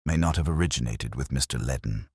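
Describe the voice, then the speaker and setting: A man playing a calm, shrewd police inspector in an audio mystery, speaking mildly and precisely. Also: rumbling low, quick pace, husky, no echo, clean studio sound. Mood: gentle, dry. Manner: quiet, mild, gentle, precise.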